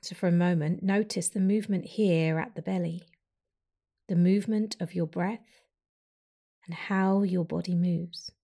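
A woman guiding a breathing meditation with slow, soft, soothing instructions and long pauses. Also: lowish voice, steady tone, creaky. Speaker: meditation guide.

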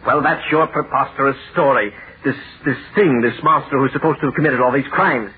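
An actor playing an exasperated, skeptical defence attorney in a 1930s radio drama, impatient and hectoring. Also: male voice, medium pitch, a little fast, slightly rough. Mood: incredulous. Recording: noisy, tinny 1930s radio broadcast.

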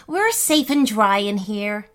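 A woman voicing a protective, often exasperated young girl, a big sister, in an expressive, exclaiming way for a children's story. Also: medium pitch, slow, clean tone. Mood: reassuring.

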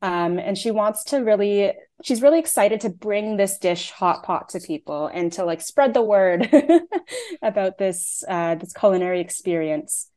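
A woman's voice: mid-range voice, lively intonation, unhurried, dark tone. A young woman in her twenties from Canada, a playwright and actor, chatting through interview answers with frequent "um" and "like" fillers.

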